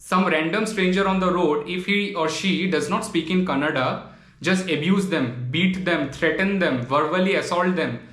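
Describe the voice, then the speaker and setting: A man's voice: fairly high pitch, moderate pace, fairly bright tone, echoey room. A man from Northeast India whose first language is Bengali, making an earnest, pleading vlog monologue and often ending lines with "man". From Tripura, Northeast India. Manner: earnest, pleading, repeats phrases and says "man". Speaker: vlogger. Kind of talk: impassioned direct-to-camera monologue.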